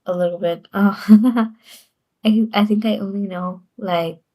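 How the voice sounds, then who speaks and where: A woman's voice: medium pitch, steady tone, measured pace, dark tone. A woman streamer chatting casually on a livestream, rambling and giggling often between sentences.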